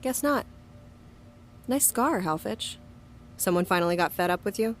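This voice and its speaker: A woman's voice: medium pitch, lively intonation, normal speed, a little hiss or hum, natural voice. An actress playing a guarded, defiant young woman in an audio drama, terse and dry in both narration and dialogue. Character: defiant, guarded young female monster hunter, narrating her story.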